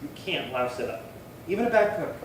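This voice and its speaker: A man's voice: fairly high pitch, big pitch swings, a little fast. A man playing a folksy police detective sidekick in an old-time radio crime drama, talking in a chatty, easygoing but insistent way. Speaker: actor. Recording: echoey room far from mic, clear noise.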